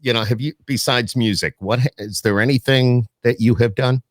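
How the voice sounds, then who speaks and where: A male podcast host chats casually in a folksy, rambling manner. His voice sits at an average pitch and is recorded dry, with very little room sound. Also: steady tone, normal speed, no room sound. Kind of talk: casual interview chat.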